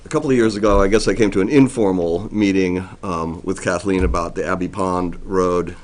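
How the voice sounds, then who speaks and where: A middle-aged man, a forest district ranger, giving a loud, plainspoken briefing to a town board with frequent ums and restarts. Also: low-pitched, steady tone, a little fast. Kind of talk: informal briefing at a public meeting. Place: town meeting room.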